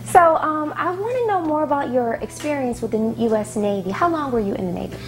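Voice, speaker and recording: A woman's voice: high-pitched, lively intonation, fairly quick. A woman hosting a local talk show, upbeat and encouraging in her questions.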